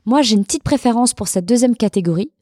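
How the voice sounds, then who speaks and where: A woman podcaster answering interview questions in a measured, thoughtful way, pausing often with fillers. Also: on the high side, animated, dark tone, no room sound, clean studio sound. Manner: measured, hesitant with frequent fillers.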